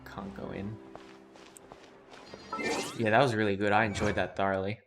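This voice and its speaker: A man's voice: fairly low pitch, flat delivery, moderate pace, gravelly. A young man streaming a game and reacting casually, with blunt, slangy, swear-laden talk. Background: game music.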